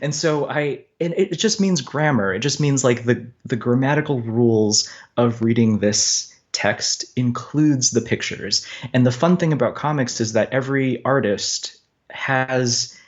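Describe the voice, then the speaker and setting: A man's voice: medium pitch, measured pace. Chatty, articulate man on muffled audio. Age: adult. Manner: chatty, articulate, explanatory, chuckles often. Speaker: guest cartoonist. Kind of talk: casual, thoughtful interview conversation.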